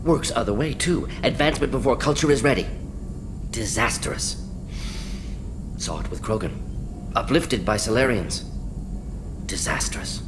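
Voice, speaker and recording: An actor playing a brisk, brilliant alien scientist in a video game, speaking in clipped, blunt fragments with small words dropped. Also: male voice, expressive, natural voice. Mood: urgent, regretful. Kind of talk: video game character acting. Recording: clear rumbling noise behind voice.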